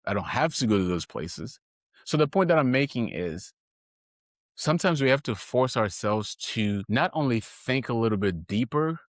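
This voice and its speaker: Low-voiced man, earnest podcast host. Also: dry recording, clean studio sound. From Haiti. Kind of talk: reflective solo podcast talk.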